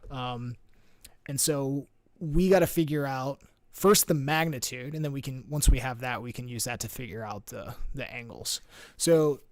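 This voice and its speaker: A man's voice: mid-range voice, normal speed, dry recording. Man tutoring casually, dry close sound. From United States. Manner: thinks aloud with many fillers and restarts. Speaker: math and physics tutor. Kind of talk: casual screen-share tutoring.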